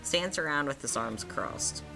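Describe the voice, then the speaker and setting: Low-voiced woman reading aloud with lively swings. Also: measured pace, gravelly, music in the background. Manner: playful, dramatic phrasing. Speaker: host reading a gamebook playthrough aloud. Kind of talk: expressive read-aloud narration with casual asides.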